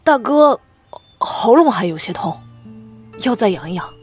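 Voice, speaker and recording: A woman playing a timid, deferential boy prince with a husky voice in a historical audio drama. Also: a little high, sing-song, unhurried, soft background music, exaggerated voice. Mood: subdued, curious.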